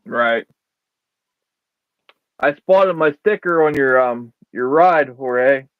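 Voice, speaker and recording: A man hosting a casual chat, easygoing and quick to laugh. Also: mid-range voice, smooth, no room sound. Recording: dull, dry lo-fi mic.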